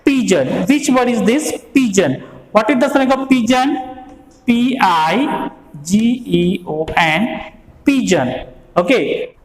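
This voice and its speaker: A woman teacher going over a revision lesson. She asks quiz questions slowly, repeats the answers and spells words out. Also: on the high side. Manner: slow, repetitive, quiz-style questions and answers. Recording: roomy, echoey hall sound.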